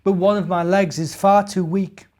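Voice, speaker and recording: A man voicing a pondering, lame stranger in a children's story, thoughtful and deliberate. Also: sits high, steady tone, a little mellow, pitched above the performer's own voice, lightly acted. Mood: wistful.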